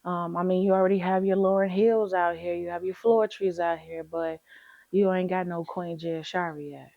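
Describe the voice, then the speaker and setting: A confident woman talks about her music in a relaxed way. Her voice sits a little low. The recording is dry and slightly dull. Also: normal speed, gravelly, no room sound. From Chicago, USA. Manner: relaxed and confident, slips into animated quoting of herself. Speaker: rapper, interview guest. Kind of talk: casual interview.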